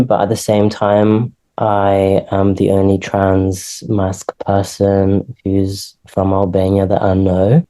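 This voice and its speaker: A man from Italy answers interview questions thoughtfully, pausing often. His voice is very low, flat and mellow. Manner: thoughtful, with pauses and fillers. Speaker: podcast guest.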